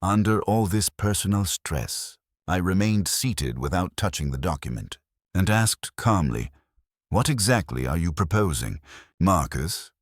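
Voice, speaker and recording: A man reading a scripted story as narrator in a measured, formal way. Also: low voice, expressive, dry recording, studio quality.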